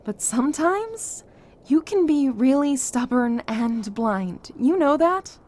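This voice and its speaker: A woman acting in an audio drama as a caring, frank friend, gentle but direct in manner. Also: high-pitched, lively intonation, slow pace. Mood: frustrated.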